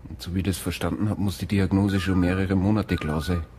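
A man's voice: low-pitched, fairly even intonation, fairly quick, darkish tone, slight background noise, natural voice. An actor playing a gossipy, teasing small-town policeman with a Bavarian accent in a naturalistic radio drama. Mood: confiding.

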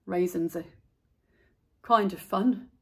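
A woman's voice: mid-range voice, takes it slowly, darkish tone, slightly rough. A woman voicing a young boy in a children's storybook, hungry and impatient, with emphatic delivery. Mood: reluctant musing. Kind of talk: children's storybook read-aloud.

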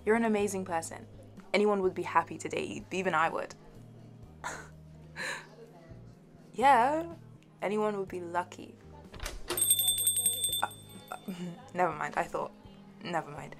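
A woman's voice: lowish voice, unhurried, long pauses, music in the background. A woman playing a playful, shyly fond young best friend in an intimate audio roleplay, giggly and hesitant. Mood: teasing, warm, then flustered, shy. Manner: giggly, hesitant, soft trailing asides. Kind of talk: intimate audio roleplay, one side of a conversation.

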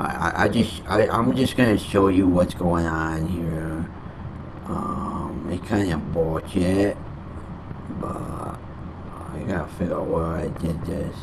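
A man's voice: sits low, noisy background. A young adult man rambling casually on a gaming vlog in a sweary, grumbling manner. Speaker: gaming channel vlogger. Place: small home room, indoors.

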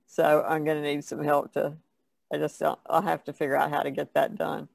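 A woman's voice: low voice, monotone, fairly quick, vocal fry, a little mellow, dry recording. A woman of seventy chatting casually as a guest on an art podcast. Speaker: podcast panelist, artist. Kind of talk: casual chat.

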